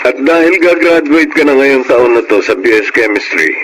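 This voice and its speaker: An actor playing a generous, responsible eldest brother in a radio drama, speaking with affectionate assurance. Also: male voice, medium pitch, expressive, normal speed, loud music behind the voice, close to an everyday voice, poor audio. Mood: generous, caring. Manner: affectionate big-brotherly talk.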